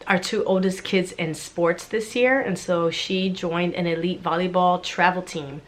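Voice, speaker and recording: A woman's voice: on the low side, fairly even intonation, measured pace. Stuffy-voiced woman chatting to camera. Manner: chatty, friendly, talks straight to viewers. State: stuffy and congested from a cold. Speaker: homeschooling mom vlogger. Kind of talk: casual chat to camera.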